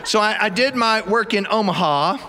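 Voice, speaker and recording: A man's voice: high voice, expressive, bright, forceful tone. A man with a Southern American accent telling a comic tale to an audience in a folksy, theatrical way. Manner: folksy, theatrical, drawn-out punchlines. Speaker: storyteller. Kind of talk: live comic storytelling to an audience.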